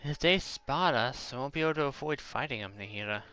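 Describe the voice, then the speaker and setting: A woman's voice: a bass voice, lively intonation, brisk, a bit bright, soft background music. A woman doing casual gameplay commentary as a streamer, reading dialogue choices aloud. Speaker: game streamer.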